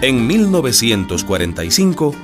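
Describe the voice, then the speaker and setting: A man's voice: medium pitch, big pitch swings, moderate pace, natural voice. A man narrating a radio drama as a scripted storyteller. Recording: music bed behind the voice.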